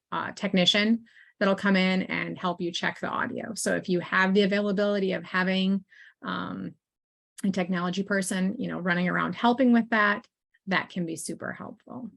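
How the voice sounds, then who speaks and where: A woman in the middle of an online training session, speaking in a mid-range voice through a computer mic. She talks in a loose, conversational way, full of small fillers and quick asides to the people listening. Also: mid-range voice, fairly even intonation, creaky, fairly bright tone. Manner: friendly and informal, with frequent fillers and asides. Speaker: assistive technology consultant presenting a training. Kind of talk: conversational webinar presentation.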